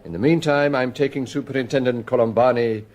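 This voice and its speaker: An actor playing a shrewd, genial police chief in a British radio crime drama, brisk, commanding and probing. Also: male voice, medium pitch, darkish tone, close to an everyday voice.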